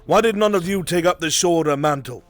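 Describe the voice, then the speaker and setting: A man playing a proud, indignant war lord, making loud, formal accusations in a fantasy roleplay. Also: fairly high pitch, fairly quick, soft background music, voice pushed up, close to an everyday voice. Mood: furious.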